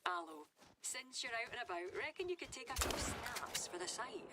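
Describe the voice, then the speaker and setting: An actress playing a no-nonsense Scottish gamekeeper in a video game, with a dry, casual, teasing manner. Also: female voice, fairly high pitch, very animated, normal speed, heavy background noise. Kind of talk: scripted game dialogue.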